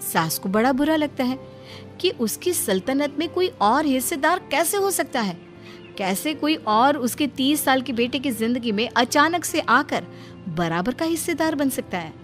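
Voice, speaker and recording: Woman narrator, wry storyteller, higher-pitched. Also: lively intonation, moderate pace, soft background music.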